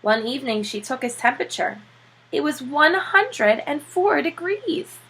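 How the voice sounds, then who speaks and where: A woman reading a children's storybook aloud with expressive narration, like a teacher reading to pupils. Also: high-pitched, unhurried.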